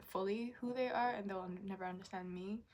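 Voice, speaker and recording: A woman's voice: mid-range voice, steady tone, a little fast, darkish tone. A young woman vlogging candidly about her own life in a frank, rambling, confessional way. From Japanese, raised in Indonesia and New Zealand. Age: young adult. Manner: confessional, frank, rambling with fillers and mild swearing. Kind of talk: candid personal vlog.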